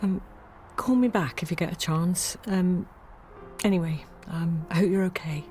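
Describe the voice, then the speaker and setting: A woman's voice: lowish voice, moderate pace, a little mellow, faint music behind, natural voice. An actress playing an earnest, hesitant woman who speaks politely and halts with fillers, in a radio play. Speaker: local helper arranging refugee hosting.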